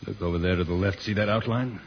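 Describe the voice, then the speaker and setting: Steady hero through heavy noise. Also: male voice, low voice, quick pace. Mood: calm, assured. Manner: steady, reassuring. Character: calm, resourceful male space troubleshooter hero. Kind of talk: vintage radio serial acting. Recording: dull, very noisy old radio recording.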